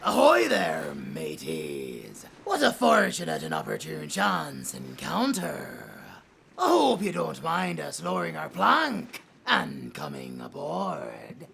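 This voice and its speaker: Swaggering pirate captain, super gravelly, mock polite, sing-song swoops, bit of background noise. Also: mid-range voice, huge pitch swings, slow, fairly bright tone, full character voice. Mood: loud, gleeful. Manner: taunting, drawling, theatrical. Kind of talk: cinematic audio roleplay acting.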